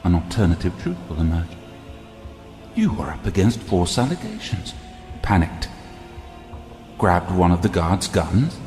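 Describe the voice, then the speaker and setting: An actor playing an embittered, silky and calculating royal elder brother in an audio drama, with a deliberate, commanding, theatrical delivery. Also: male voice, low voice, very animated, a little mellow. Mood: persuasive, sly. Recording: long reverberant hall, music behind.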